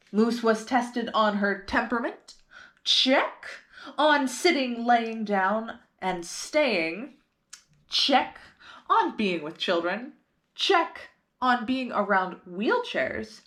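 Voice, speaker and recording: Slow, animated woman reading to children. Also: on the high side. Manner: expressive, addresses children with simple questions. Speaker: library storytime host reading a picture book. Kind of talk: children's read-aloud with questions to young listeners.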